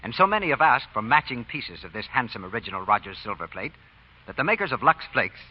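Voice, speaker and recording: A man announcing on 1940s radio, reading a scripted sponsor pitch with formal, emphatic enunciation. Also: sing-song, quick pace, slightly rough. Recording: dull old radio recording.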